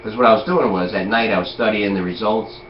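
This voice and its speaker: Fast deep-voiced guy in his 40s rambling on, lots of you know, echoey lo-fi noisy recording. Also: fairly even intonation. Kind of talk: casual chat. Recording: echoey lo-fi room with noise.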